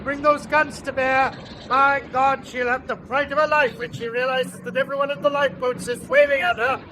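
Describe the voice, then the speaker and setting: An actor playing a commanding, gruff and defiant U-boat captain in a wartime radio drama, with clipped, emphatic military delivery. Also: male voice, very high voice, little pitch movement, normal speed. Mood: urgent. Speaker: submarine captain. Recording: radio drama with loud engine noise.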